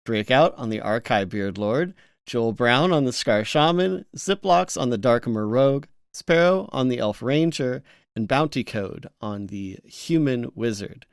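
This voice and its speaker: Clear-voiced male podcast co-host. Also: medium pitch, expressive, unhurried, dry recording, clean studio sound. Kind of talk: casual podcast chat.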